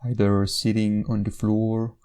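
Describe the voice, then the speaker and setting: A man guides a meditation in a lowish, relaxed voice. There is a noticeable creak in his tone. He gives each instruction in a measured way, leaving gentle pauses between phrases.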